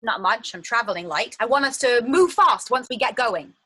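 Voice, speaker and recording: High, bright, anxious woman fugitive, played by an actress. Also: very animated, normal speed, breathy edge, full character voice. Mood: nervous, resolute. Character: anxious but determined woman fleeing a flooded underground shelter. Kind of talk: dramatic stage-play dialogue.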